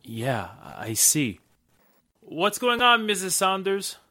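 A man playing a sharp, commanding hero in a 1940s style radio thriller, giving terse orders. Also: high voice, big pitch swings, slow, exaggerated voice. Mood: suspicious. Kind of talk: 1940s radio drama acting.

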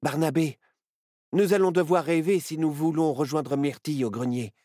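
A man voicing a calm, sensible child in a storybook reading. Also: a little high, moderate pace, no room sound, clean studio sound, close to an everyday voice.

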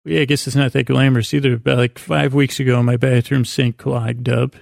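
A man hosting a sleep podcast in a creaky, gravelly voice, meandering through a bedtime monologue with halting pauses and false starts. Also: medium pitch, flat delivery, a little mellow, no room sound, clean studio sound.

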